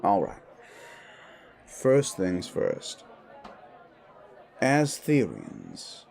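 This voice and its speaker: A man's voice: low-pitched, wildly swooping pitch, vocal fry, a little mellow, some chatter behind, pitched below the performer's own voice, full character voice. A man playing a large, condescending werewolf who talks in a dry, teasing, self-assured way in an audio drama. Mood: patient. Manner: slow, deliberate, teasing. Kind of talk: scripted audio drama dialogue.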